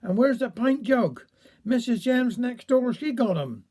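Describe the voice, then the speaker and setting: A woman's voice: fairly high pitch, expressive, unhurried, mellow, voice pushed up. A woman storyteller voicing a rude, tattling parrot screeching. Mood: accusing. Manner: screeched accusations.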